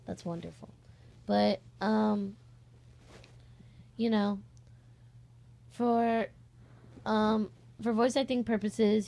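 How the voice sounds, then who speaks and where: A woman's voice: mid-range voice, creaky, warm tone. A young woman chatting informally in a scattered, rambling vlog style, easily sidetracked. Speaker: amateur voice actor and video host. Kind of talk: casual rambling vlog chat. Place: on a bed at home, indoors.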